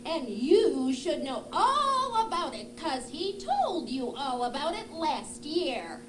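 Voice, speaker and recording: A woman voicing an excitable, curious squirrel puppet in a children's show, exclaiming and asking questions. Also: high voice, heavily acted. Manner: exclaiming, questioning, drawn-out delivery. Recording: roomy, echoing, noisy live puppet show.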